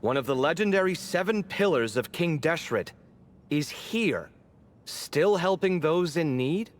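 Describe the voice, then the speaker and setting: A woman playing a sardonic, inquisitive young adventurer in a video game, with a dry, expressive delivery. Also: deep voice, measured pace. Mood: curious. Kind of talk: video game character dialogue.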